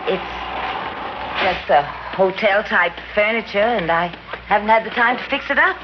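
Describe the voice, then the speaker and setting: A woman's voice: medium pitch, expressive, moderate pace, natural voice. An actress playing a scheming woman who lures a young man into a trap, coy and sly, in an old radio drama. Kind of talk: 1940s-50s radio drama acting. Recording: muffled vintage radio recording with hiss.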